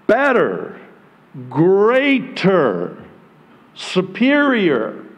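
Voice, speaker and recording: Middle-aged male pastor, native Arabic speaker, slow measured sermon, reverberant space. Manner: slow, deliberate delivery with dramatic pitch swings when quoting scripture. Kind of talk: prophecy sermon.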